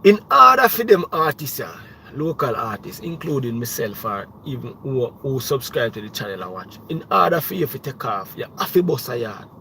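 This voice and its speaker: A young man with a thick Jamaican accent chats loosely on a live stream, mid-pitched and animated. He keeps circling back with restarts and fillers. Faint noise sits under his voice. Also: normal speed, creaky. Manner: animated, rambling, many restarts and "you know what I mean". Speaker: music producer and livestream host. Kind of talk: casual livestream talk.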